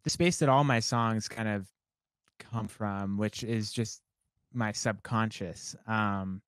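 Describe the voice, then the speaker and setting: A young man, a songwriter guest, talking in a relaxed and reflective way, rambling with many fillers. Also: lowish voice, slow, clear, resonant tone, dry recording. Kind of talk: casual interview conversation.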